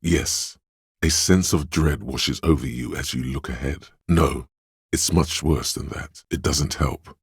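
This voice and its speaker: An actor playing a man's calm, wry inner sense of perception in a game, calmly pointing out details and addressing the listener as "you". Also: a bass voice, slightly rough, no echo, clean studio sound, heavily acted. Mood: observant. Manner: measured, noticing details in second person. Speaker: voice actor for a game's inner skill voice. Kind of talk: scripted game voice lines.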